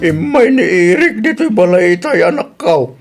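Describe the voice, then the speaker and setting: Man acting concerned old father, fairly high-voiced. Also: big pitch swings, measured pace, loud music behind the voice, full character voice. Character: concerned, caring elderly pensioner father. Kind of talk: Ilocano radio drama acting.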